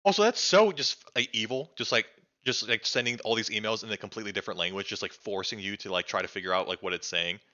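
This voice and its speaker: A young streamer reacts out loud with excitable chatter, cursing casually and leaning on "like". His voice comes across bright and forceful. The audio is dull and lo-fi, like a home stream mic. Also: lowish voice, fast talker. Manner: excitable, swears freely, fills speech with "like".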